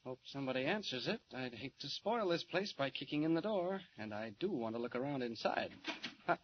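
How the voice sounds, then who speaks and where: Male actor as American reporter-hero, medium pitch, mild manners, hidden confidence, vintage radio acting, dull sound with faint noise. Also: animated, brisk, lightly acted. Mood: breezy, probing. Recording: muffled, noisy 1940s radio recording.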